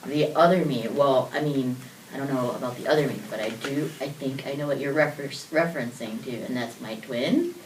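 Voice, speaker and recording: Very low-voiced woman in her twenties, a loose, chatty guest, heard from off mic in an echoey room. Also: moderate pace, far from the mic. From born in South Korea, raised in the United States. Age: 20s. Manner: laughing, casual, loose and talkative. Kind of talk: interview answers. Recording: roomy echo, voice from off mic, faint noise.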